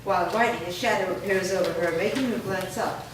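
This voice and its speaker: A woman reading the stage directions aloud in a staged play reading, in an even, matter-of-fact way. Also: lowish voice, fairly quick. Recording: echoey room, far mic, hiss.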